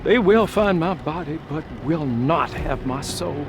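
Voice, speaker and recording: Doomed remorseful man, grave, theatrical, highish voice, very slow, horror game monologue, noisy background. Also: expressive. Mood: grim resignation. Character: doomed, remorseful man facing evil forces. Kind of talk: dramatic game voice acting, written monologue.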